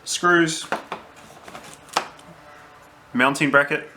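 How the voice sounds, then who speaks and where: A man with an Australian accent giving a casual, unscripted review, halting as he thinks aloud. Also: on the high side, pushed and bright. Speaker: vlogger reviewing gear. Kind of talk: casual unboxing and review talk. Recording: roomy, distant mic, noisy background.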